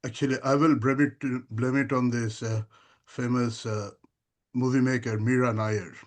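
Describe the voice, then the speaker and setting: A man, a filmmaker, answering interview questions in a rambling, conversational way with frequent pauses. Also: medium pitch, steady tone. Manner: rambling, many fillers and restarts.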